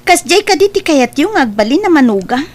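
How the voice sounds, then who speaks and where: A woman's voice: high voice, very animated, fairly quick, a little mellow. A woman playing a suspicious, hurt young woman who confronts her suitor pointedly in a radio drama. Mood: indignant. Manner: accusing, pointed.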